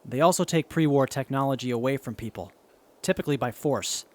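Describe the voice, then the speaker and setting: An actor playing a cautious, matter-of-fact wasteland companion in an audio drama. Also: male voice, medium pitch, a little fast, darkish tone, lightly acted. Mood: serious. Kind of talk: post-apocalyptic audio drama.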